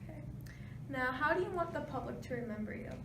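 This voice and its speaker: Casting: female news interviewer, fairly high voice, scripted questions, distant and noisy recording. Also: expressive, quick pace. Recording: noisy, echoey room, distant mic.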